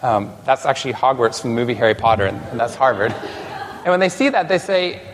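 A man from Texas giving a conference talk to a live audience, animated and self-deprecating, with some restarts. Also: mid-range voice, a little fast, in a large hall, a faint crowd, slight background noise, rough recording. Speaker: conference speaker. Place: large conference hall.

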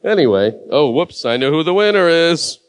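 A man's voice: fairly high pitch, very animated, moderate pace, clean tone. Wisecracking man hosting an awards show. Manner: wisecracking, offhand, swears. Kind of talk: live awards announcing. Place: big room, on a mic.

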